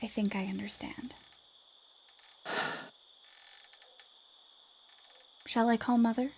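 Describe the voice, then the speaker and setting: A woman's voice: on the high side, fairly even intonation, fairly quick, darkish tone, slight background noise, close to an everyday voice. A woman playing a spirited, restless young woman writer, blunt but caring, in a period audio play. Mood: tender, concerned.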